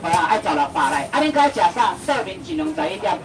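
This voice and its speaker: A young man with a Taiwanese accent auctioning seafood, calling out prices in a rapid sing-song patter and bantering with the crowd at an outdoor market. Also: high-pitched, sing-song. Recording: distant voice over loud market noise.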